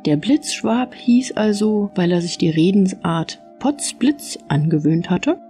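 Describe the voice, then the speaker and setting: Female audiobook narrator for fairy tales, animated delivery, warm tone. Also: mid-range voice, unhurried, soft background music. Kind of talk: expressive fairy-tale storytelling.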